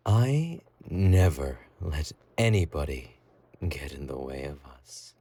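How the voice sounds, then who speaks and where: Man playing a slow, husky, obsessive yandere boyfriend. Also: extremely low pitch, very animated, dark tone, heavily acted. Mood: adoring, tender. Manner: slow, intimate, cooing with giggly menace. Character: obsessive, possessive yandere boyfriend, doting yet menacing. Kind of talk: romantic roleplay audio drama.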